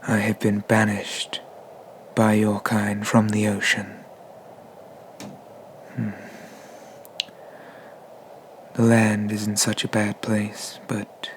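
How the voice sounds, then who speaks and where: Man playing a slow, whispering, haughty dragon god. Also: fairly low pitch, slight background noise. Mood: lofty, proud. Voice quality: breathy whisper. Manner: slow, pompous, condescending, with drawn-out pauses. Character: ancient male sea dragon god, haughty and menacing. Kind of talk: ASMR roleplay, whispered.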